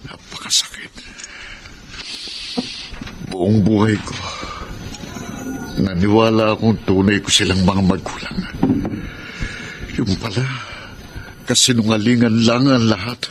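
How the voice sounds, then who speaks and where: A man's voice: fairly low pitch, fairly even intonation, slow pace, long pauses. Bitter, proud Filipino man, noisy background. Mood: bitter, hurt. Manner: melodramatic, wounded delivery. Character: proud, bitter, self-pitying husband and son. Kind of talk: Filipino radio drama acting. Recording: noisy ambience behind the voice.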